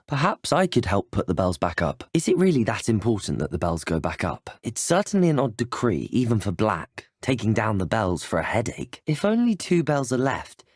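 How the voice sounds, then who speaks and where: A man's voice: medium pitch, slightly rough, dry recording, clean studio sound. A young man with an English accent voicing a polite, eager student wizard in scripted video game dialogue. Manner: polite, well-spoken, earnest.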